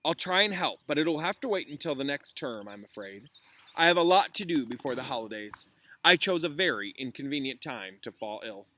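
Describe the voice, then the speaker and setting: A man's voice: mid-range voice, animated, brisk, bright, forceful tone. A man voicing a grave, kindly young wizard professor in a storybook read-aloud, solemn and emphatic. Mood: reluctant, kind.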